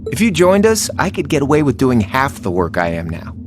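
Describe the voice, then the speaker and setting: Cocky, teasing young rogue, swooping delivery. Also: male voice, medium pitch, huge pitch swings, fairly quick, over music. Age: young adult. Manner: teasing, flippant, casual. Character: cocky, laid-back young male rebel, teasing rogue. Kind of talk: video game voice acting.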